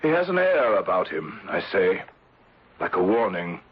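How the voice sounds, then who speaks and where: Deep-voiced, measured English insurance manager. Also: male voice, big pitch swings. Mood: curious, suspicious. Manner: measured, formal, deliberate. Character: Edgar Sampson, shrewd, wary English insurance manager. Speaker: actor narrating and playing the lead. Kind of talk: 1970s radio drama acting. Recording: dull old radio recording with music.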